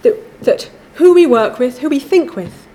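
A woman's voice: sits high, animated, warm tone. Woman giving a formal lecture. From England. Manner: measured, reads prepared text.

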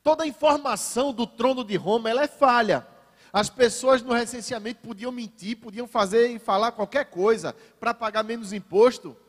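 Brief: male Brazilian pastor, high voice, sermon delivery with wry asides, hall reverb. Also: normal speed. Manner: varies pace for emphasis, ironic asides to the congregation. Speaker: preacher.

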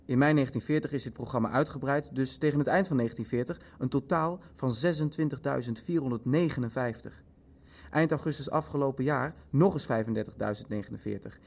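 A man's voice: mid-range voice, fairly quick, vocal fry, darkish tone. A man acting a detached Nazi bureaucrat in a radio play, clinical and hesitant, fussy about figures. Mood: detached, factual. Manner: hesitant, clinical, leafing through his facts. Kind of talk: dramatic dialogue in a radio play.

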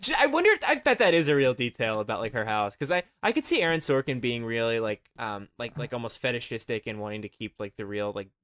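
A man's voice: medium pitch, sing-song, fast talker, hardly any pauses, fairly bright tone, no echo, clean studio sound. A man hosting a casual, comedic film chat, wry and jokey, with mock-showman asides to the listeners. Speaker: film podcast host.

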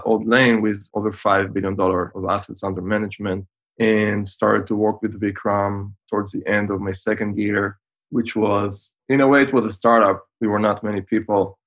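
A man in his forties with an Israeli accent, a podcast guest explaining things in a measured way with frequent pauses over a video call. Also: on the low side, gravelly. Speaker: guest, fintech founder.

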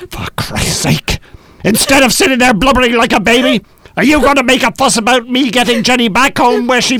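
An actor playing a cruel, controlling husband in a live radio play, sardonic, taunting and bullying in manner. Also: male voice, extremely high pitch, a bit bright, no room sound. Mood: furious.

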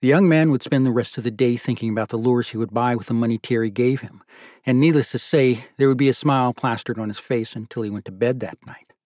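A man's voice: medium pitch, quick pace, darkish tone, no echo. Dry, closely recorded male audiobook narrator. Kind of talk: scripted literary fiction narration.

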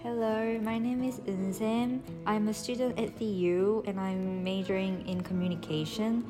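A woman's voice: mid-range voice, fairly even intonation, normal speed, over music. A woman in her twenties with a slight Australian accent, answering interview questions in English that is not her first language, with many "um"s and "like"s. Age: 20s. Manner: chatty, many fillers like "um" and "like". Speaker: interviewee, university student.

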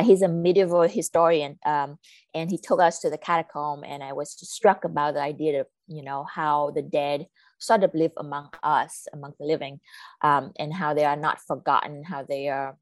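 Low-voiced woman academic, thoughtful and hesitant. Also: dry recording. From Vietnam. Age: 30s. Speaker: academic author, podcast guest. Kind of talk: conversational interview answers.

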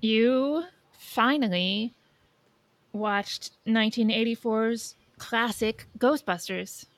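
A woman's voice: a little high, slow pace, no room sound. A woman co-hosting a casual podcast about film and TV, talking in an emphatic, conversational way. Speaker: podcast co-host.

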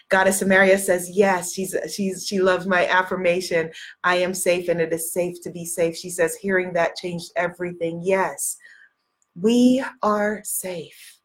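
A woman's voice: fairly low pitch, steady tone, measured pace. A sniffly woman hosting a motivational livestream, encouraging and emphatic, repeating affirmations and phrases for effect. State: sniffly with a cold. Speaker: live-stream host, spiritual life coach.

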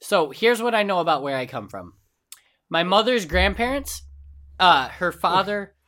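A man's voice: high-pitched, big pitch swings, moderate pace, sharp tone. A young man, American of Mexican descent, co-hosting a casual chat with blunt, joking remarks and plenty of swearing. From United States, of Mexican descent. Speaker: podcast co-host.

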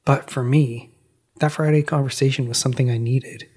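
A man hosting a podcast, narrating in a measured, reflective and personal way. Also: medium pitch, fairly even intonation, a little mellow, slightly rough. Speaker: podcast host.

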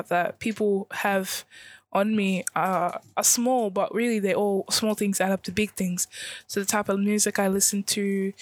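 A teenage girl co-hosting a youth panel discussion, speaking earnestly and thoughtfully, often restarting phrases as she explains. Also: medium pitch. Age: teens. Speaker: youth podcast co-host.

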